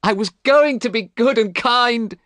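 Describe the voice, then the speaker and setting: An anguished, volatile young gentleman crying out in high, strained bursts, as a male narrator acts him out in an audiobook. The voice jumps far above the reader's own and rings bright and sharp. The recording is dry and close. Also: unhurried, no echo, exaggerated voice. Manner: cries out in high, strained outbursts.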